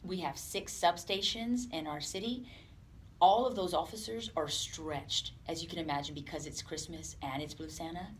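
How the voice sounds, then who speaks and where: Low-voiced woman in a noisy interview. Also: moderate pace. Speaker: police department representative, interview guest. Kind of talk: unscripted interview talk. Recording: clear background room noise.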